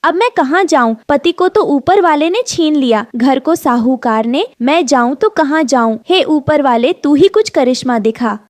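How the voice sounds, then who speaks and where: A high-pitched young mother sparrow voiced by a woman in a cartoon story. She is anxious and polite, speaking with a worried plea. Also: animated, normal speed, hardly any pauses, darkish tone, dry recording. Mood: despairing. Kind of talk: Hindi cartoon story dubbing.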